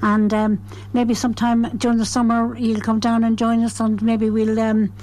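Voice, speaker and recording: A woman's voice: on the high side, monotone, normal speed, warm tone. Woman radio host, chatty. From Ireland. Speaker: radio arts show host. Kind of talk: casual radio interview.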